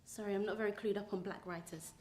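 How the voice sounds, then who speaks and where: A woman's voice: medium pitch, steady tone, quick pace, a little mellow, reverberant, a little hiss or hum, lightly acted. A woman playing a flustered stand-in leading a rehearsal, who turns defensive. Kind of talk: short film acting.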